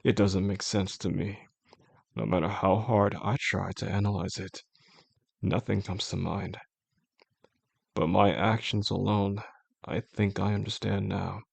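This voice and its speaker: A male voice actor playing an obsessive, calmly menacing boss in an intimate audio roleplay, speaking in a slow, deliberate, coaxing way with formal wording and a gravelly, husky voice. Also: fairly low pitch, dry recording, exaggerated voice. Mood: intense, confessing. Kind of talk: intimate scripted roleplay monologue.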